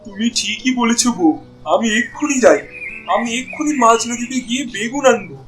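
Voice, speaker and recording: A man plays a cheerful village husband who dotes on his family in a cartoon. His voice is strikingly high for a man, over soft music. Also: lively intonation, moderate pace. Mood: amazed, eager. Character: cheerful, doting poor village husband and father. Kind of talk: Bengali cartoon voice acting.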